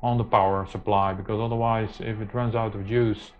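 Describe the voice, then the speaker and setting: A man presenting an informal hands-on tech tutorial, speaking haltingly with very frequent hesitations. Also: fairly low pitch, level tone, slight background noise. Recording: muffled lo-fi mic, music behind.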